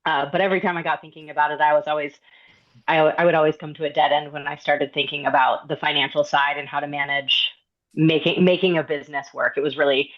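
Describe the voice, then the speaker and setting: A woman, an independent designer, introducing herself earnestly with frequent pauses on a video call. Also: low-pitched, steady tone, muffled recording. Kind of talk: prepared self-introduction.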